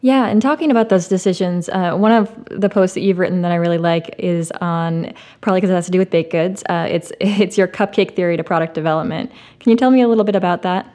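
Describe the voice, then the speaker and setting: A woman's voice: fairly low pitch, fast, hardly any pauses, mellow. A woman hosting a podcast, talking conversationally as she interviews a guest.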